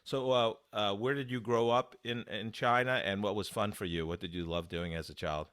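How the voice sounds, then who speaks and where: A man's voice: fairly low pitch, fairly even intonation, moderate pace, a bit bright, clean tone, no echo, clean studio sound. A man hosting an interview podcast, easygoing and hesitant, with many fillers. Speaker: podcast host. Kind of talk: casual interview conversation.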